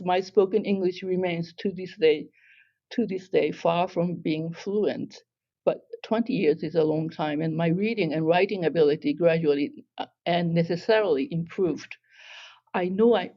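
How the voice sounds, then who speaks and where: A woman from Japan, a native Japanese speaker with a Japanese accent, talking as a guest novelist at a literary festival in a measured, reflective way with hesitant restarts. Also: lowish voice, darkish tone, dull audio.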